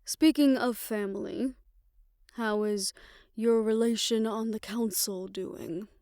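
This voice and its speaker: Woman acting a slow, tender, world-weary lover. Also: on the high side, steady tone, smooth, no echo, studio quality. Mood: apologetic. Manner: measured, reflective, formal phrasing, soft hushed moments. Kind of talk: romantic audio roleplay, intimate scripted monologue.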